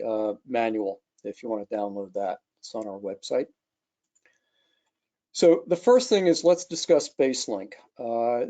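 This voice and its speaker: A man gives an unscripted technical walkthrough in the role of a product engineer. His voice is mellow and dark, at a middling pitch. The recording is a slightly dull online webcast. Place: online video call.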